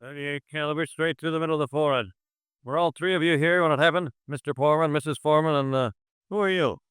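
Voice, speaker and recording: A man's voice: on the high side, fairly even intonation, fast, fairly bright tone, clear, resonant tone, no echo, close to an everyday voice. An actor playing an officious young male police sergeant in a 1950s radio drama, brusque and dismissive. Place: studio.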